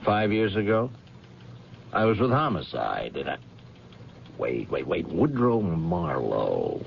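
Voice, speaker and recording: An actor playing a courteous, puzzled police lieutenant in 1970s radio drama, speaking in a hesitant, deliberate, polite way. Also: male voice, low voice, huge pitch swings, creaky, full character voice. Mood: hesitant, surprised. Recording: dull old radio recording, faint noise.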